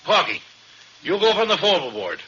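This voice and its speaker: A sharp-toned impatient foreman. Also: high voice, very animated, normal speed, husky, exaggerated voice. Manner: brusque, bossy. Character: gruff impatient drilling foreman. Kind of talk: 1940s radio acting. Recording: dull 1940s radio broadcast.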